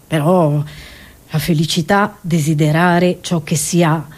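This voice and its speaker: A woman performing a theatrical, satirical comedy monologue, expressive and ironic, with rhetorical asides to the audience. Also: low-pitched, a little slow. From Italy.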